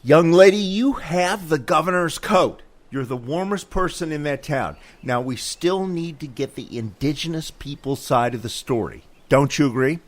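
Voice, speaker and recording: A man's voice: a little high, moderate pace, few pauses, close to an everyday voice. An actor playing a pushy, patronizing male producer in a comedy sketch. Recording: faint music behind.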